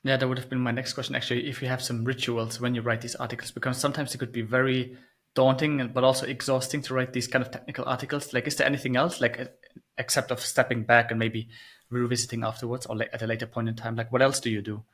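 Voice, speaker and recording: A man's voice: medium pitch, flat delivery, quick pace, a bit bright. A man hosting an interview, asking casual questions and saying "like" often. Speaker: host.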